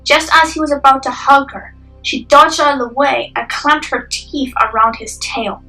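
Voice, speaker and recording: A woman's voice: high-pitched, normal speed, bright, forceful tone, far from the mic, soft background music, low-quality recording. A young adult woman reading scripted narration for a dramatic story.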